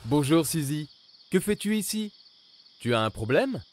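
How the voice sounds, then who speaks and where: A man narrating a children's cartoon, gently questioning and reassuring the characters. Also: on the high side, big pitch swings, unhurried, slight background noise.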